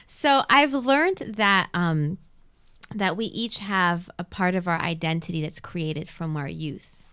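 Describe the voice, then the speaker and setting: A woman's voice: fairly low pitch, takes it slowly, a bit bright, clear, resonant tone. A woman from Montreal whose first language is Arabic, chatting reflectively in a casual interview and repeating phrases for emphasis. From Montreal, Canada. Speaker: radio show host being interviewed. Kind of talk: casual live interview chat. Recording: music playing behind the voice.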